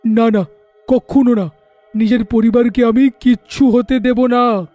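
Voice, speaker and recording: A man's voice: very high voice, steady tone, a little slow, warm tone, no echo, soft background music. A man voicing a worried, protective husband and father in a cartoon story, alarmed and scolding by turns. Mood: defiant. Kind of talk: cartoon voice acting.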